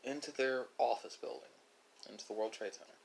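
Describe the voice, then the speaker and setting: A man delivering a reflective monologue, speaking philosophically with dramatic pauses and emphasis. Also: medium pitch, fairly even intonation, vocal fry, a little hiss or hum, poor audio. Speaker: video creator.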